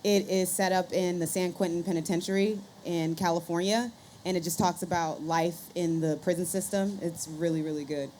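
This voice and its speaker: A woman's voice: lowish voice, fairly even intonation, moderate pace, dark tone. A woman panel guest telling stories conversationally, acting out bits of dialogue as she goes. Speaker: podcaster and scientist, panel guest. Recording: live event room with background noise.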